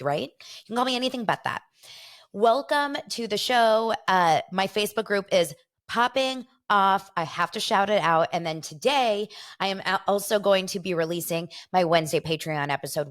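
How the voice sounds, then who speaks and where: American female podcast host, forties, slightly low register, informal and jokey, chatting directly to her audience. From Los Angeles, United States. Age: 40s. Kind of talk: casual solo entertainment gossip chat.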